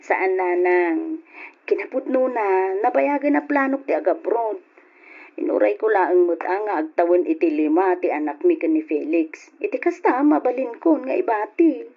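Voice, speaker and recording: A determined young wife and mother acted by a woman in an old-fashioned radio drama, with a Filipino accent. She speaks in a pleading, emphatic way at a middling pitch, on a dull lo-fi recording. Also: lively intonation, normal speed. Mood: earnest, resolved.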